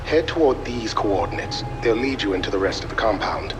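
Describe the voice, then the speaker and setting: A man playing a calm, helpful mission control officer, giving measured, matter-of-fact briefings in a video game. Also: fairly low pitch, over music, close to an everyday voice. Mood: businesslike.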